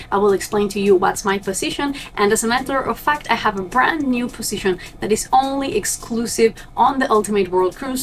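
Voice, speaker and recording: A young woman from Argentina, a native Spanish speaker, chatting upbeat and direct to her viewers as a cruise ship crew member vlogging. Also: medium pitch. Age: young adult. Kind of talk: casual vlog to camera.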